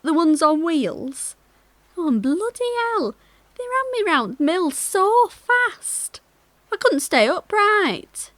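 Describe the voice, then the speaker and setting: An actress playing a cheerful, gossipy young working-class millworker from Yorkshire, chatting confidingly in a monologue. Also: female voice, a little high, slow, pauses often, no room sound. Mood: chatty, cheerful. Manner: colloquial, confiding, animated. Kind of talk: dramatic monologue, chatty and conversational.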